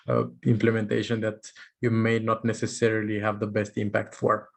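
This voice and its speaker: A man's voice: mid-range voice, gravelly. A man giving closing remarks as a guest presenter on a webinar, thoughtful and hesitant with fillers. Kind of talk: casual remarks.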